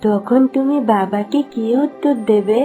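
Woman voicing a soft, mellow young boy. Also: medium pitch, unhurried, soft background music, close to an everyday voice. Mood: worried. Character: gentle, worried young grandson. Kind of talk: cartoon voice acting.

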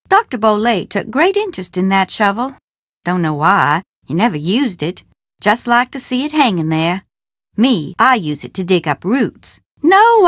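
A woman's voice: medium pitch, a bit bright, dry recording, studio quality. A woman playing a folksy, superstitious herb-growing Southern woman in a video game, with a theatrical, emphatic drawl. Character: folksy, superstitious Southern woman who grows hoodoo herbs. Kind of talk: video game character dialogue.

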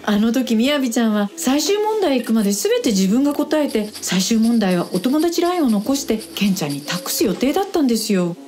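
A woman's voice: on the high side, sing-song, fairly quick, few pauses, a little mellow. An actress playing a tipsy, lovelorn woman in a radio drama, sighing yet polite. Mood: wistful. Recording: music behind the voice.

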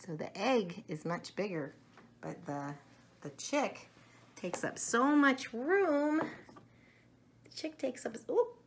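A middle-aged woman chatting to young children in an animated, simple way in a room at home. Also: fairly high pitch, slow, long pauses, slight background noise. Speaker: storyteller showing children books and eggs. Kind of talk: casual chat to children.

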